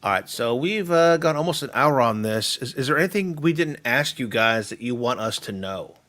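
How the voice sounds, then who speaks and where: A man's voice: mid-range voice, lively intonation, normal speed, a bit bright. Brief: male host, easygoing and joking, live stream chat. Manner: easygoing, joking, runs giveaways. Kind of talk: casual chat.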